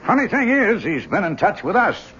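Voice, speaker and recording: An actor playing a concerned, genial book publisher in an old-time radio drama, conversational and slightly hesitant. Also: male voice, high voice, sing-song, normal speed, fairly bright tone. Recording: muffled, noisy old radio recording.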